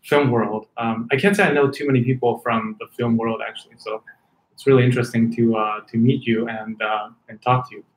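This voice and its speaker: In a roomy, echoing space, a man from China answers casual interview questions as a tech executive. His voice sits at a middling pitch, and he feels his way through sentences with pauses and repeated words. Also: steady tone.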